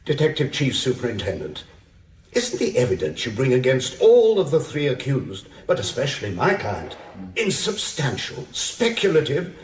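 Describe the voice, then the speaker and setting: Insistent barrister, echoey room. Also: male voice, medium pitch, wildly swooping pitch, normal speed, slight background noise. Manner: formal, precise, pressing cross-examination. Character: insistent, supercilious male defence barrister. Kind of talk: British courtroom radio drama acting. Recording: echoey courtroom acoustic.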